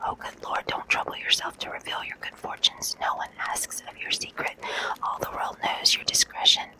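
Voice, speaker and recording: A reader voicing a vain, boastful male musketeer in a breathy whisper, emphatic and theatrical. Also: close to an everyday voice, rough recording.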